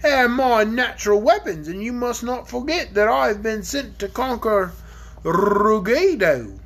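A woman voicing a gruff, boastful young male dragon in a storybook reading. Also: fairly high pitch, expressive, measured pace, voice pushed up, full character voice. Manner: sneering, blunt. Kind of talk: audiobook character reading.

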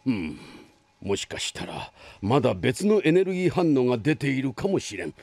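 A man's voice: mid-range voice, sing-song, normal speed, vocal fry, darkish tone, no room sound. A man voicing an elderly cartoon scientist, kindly but worried, explaining things in old-fashioned old-man speech. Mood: thoughtful, worried. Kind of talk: anime voice acting.

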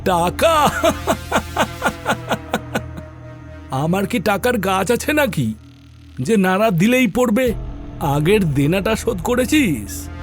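A man's voice: high voice, big pitch swings, slow pace, soft background music, full character voice. A man voicing a cunning, scornful village moneylender in a horror cartoon, mocking and haughty in his questions. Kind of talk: animated horror story acting.

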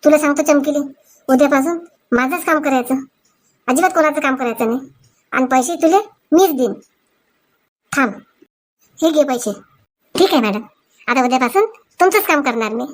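Cartoon village scold, high-pitched, excitable, Vidarbha Marathi accent, voiced by a woman. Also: normal speed, pauses often, close to an everyday voice. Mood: arguing. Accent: Marathi, Vidarbha dialect. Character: excitable, quarrelsome village women in a family comedy. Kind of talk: cartoon comedy dialogue.

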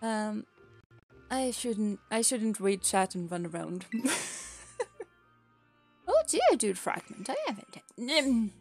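A woman's voice: mid-range voice, big pitch swings, takes it slowly, long pauses, a little mellow, soft background music. A young woman from Sweden with a light Swedish accent, chatting casually with her chat while she plays a game, laughing often and restarting her words. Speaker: streamer and teacher. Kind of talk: casual gaming stream chat.

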